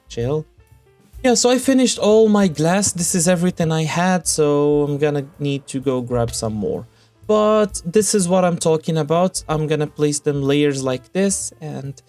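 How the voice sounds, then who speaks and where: A young man who is sick and whose voice keeps cracking, narrating his Minecraft build in casual commentary, halting with lots of fillers and self-corrections. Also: on the high side, darkish tone. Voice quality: cracky, rough voice.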